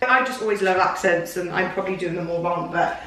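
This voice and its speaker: A woman's voice: lowish voice, fairly even intonation, fast talker, fairly bright tone. A young woman with a Welsh accent chatting bubbly and giggly in a casual vlog. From Wales. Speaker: vlogger. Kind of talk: casual chat. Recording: echoey room, distant mic, faint hiss.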